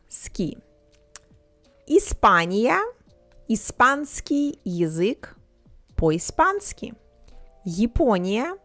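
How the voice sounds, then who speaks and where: A woman narrating a scripted language lesson, explaining grammar slowly and carefully. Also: medium pitch. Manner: slow, carefully spelled-out explanations. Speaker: language teacher.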